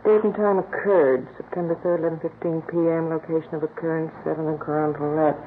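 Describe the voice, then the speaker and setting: Actress as brisk American police stenographer, muffled radio sound. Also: female voice, sits low, steady tone, close to an everyday voice. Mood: businesslike. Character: brisk, helpful female police records stenographer. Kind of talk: 1950s radio police drama dialogue. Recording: muffled, noisy old radio recording.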